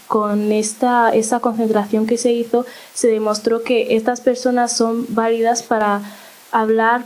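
A woman speaking at a public talk, measured and halting, pausing often with "eh". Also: on the high side, a little mellow, poor audio. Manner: halting and measured, with pauses and "eh" fillers. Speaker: panel speaker.

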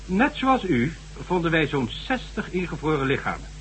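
A man's voice: a little high, sing-song, normal speed, low-quality recording. An actor playing a stern, sardonic government official in a radio drama, formal and condescending as he lectures. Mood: measured, recounting. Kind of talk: Dutch radio drama acting. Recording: faint hum and noise, old radio recording.

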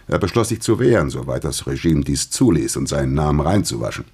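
Husky, very deep-voiced older professor. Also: male voice, very animated, a little fast, darkish tone, heavily acted. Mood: weary, emphatic. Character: grave older German physics professor recalling the Nazi era. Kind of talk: radio drama dialogue.

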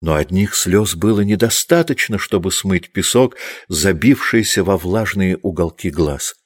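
A man narrating literary fiction for an audiobook in measured, deliberate phrases. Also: deep voice, slightly rough.

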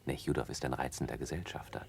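Urbane, gravelly bass gentleman. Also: fairly even intonation, fast, slight background noise. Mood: blasé, curious. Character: urbane, condescending upper-class card player. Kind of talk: 1960s German radio drama acting.

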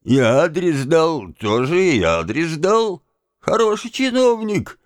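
Slow, stubborn, tipsy manservant. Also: male voice, fairly high pitch, sing-song, dry recording, clean studio sound, pitched above the performer's own voice. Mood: sullen, rambling. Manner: drawling, repetitive, obstinate. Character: stubborn, tipsy, insolent manservant, dull-witted and evasive. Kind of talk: dramatised audiobook of a classic novel.

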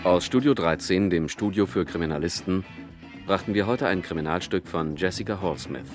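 Male announcer for 1970s radio credits; deep, formal, measured, faint music underneath. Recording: vintage radio broadcast over music.

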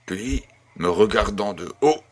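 A man playing a kindly grandfather who tells a bedtime tale in a measured, gentle, husky voice. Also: fairly low pitch, animated. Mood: storytelling, wondering. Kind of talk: scripted audio storytelling.

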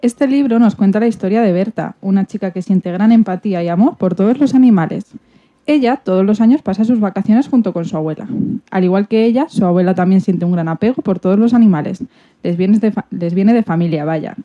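A woman reading a scripted summary as a radio co-host, in dull low-fidelity sound. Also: brisk, warm tone. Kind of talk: scripted narration, plot summary.

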